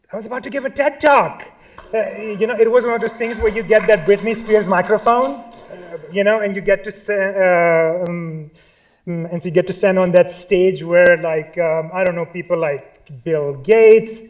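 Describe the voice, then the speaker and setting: A man with a Pakistani accent giving a live artist talk at a museum, nervous and full of ums, restarts and asides. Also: high-pitched. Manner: nervous, many fillers and restarts. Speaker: artist speaker.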